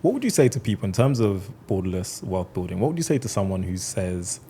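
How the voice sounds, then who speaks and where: A man's voice: deep voice, animated, fast talker, mellow, slight background noise. A man hosting a podcast, asking long, reflective questions in a thoughtful way. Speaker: podcast host. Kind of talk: conversational podcast interview.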